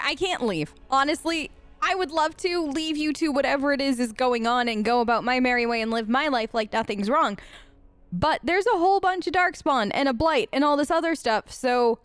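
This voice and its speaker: A woman with a high voice chats her way through a role-play playthrough. She is sarcastic and conversational, recorded close and very dry. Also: moderate pace, clear, resonant tone, dry recording. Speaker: gaming video host. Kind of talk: improvised in-character role-play let's play.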